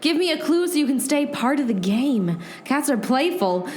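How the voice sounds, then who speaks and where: A woman's voice: sits high, very animated, normal speed, a little mellow. A woman playing a bossy, self-assured game master turned sleuth in a hammy comic radio play. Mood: confident, commanding. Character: bossy, confident young female game master and sidekick sleuth. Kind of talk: comedic radio play acting. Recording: noisy recording with clicks.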